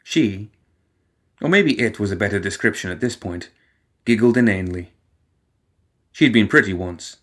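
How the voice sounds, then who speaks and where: A man reading a fiction story aloud as an expressive audiobook narrator. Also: fairly low pitch, moderate pace, pauses often. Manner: expressive, takes on characters' inner voices.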